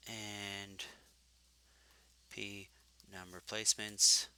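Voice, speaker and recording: A man teaching programming in a live coding lecture, thinking aloud and correcting himself as he goes, his voice growing hoarse from long talking. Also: fairly low pitch, little pitch movement, very slow, pushed and bright. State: getting hoarse.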